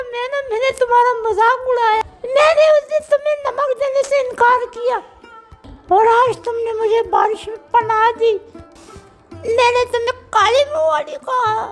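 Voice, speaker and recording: A woman voicing a cheeky male parrot in a children's cartoon, who drops parrot catchphrases between sentences. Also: very high voice. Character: cheeky male pet-style parrot, squawking his own name.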